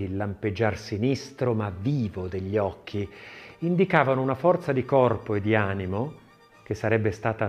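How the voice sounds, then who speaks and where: A man narrating a scripted audiobook in an expressive, literary, dramatic reading style. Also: fairly low pitch.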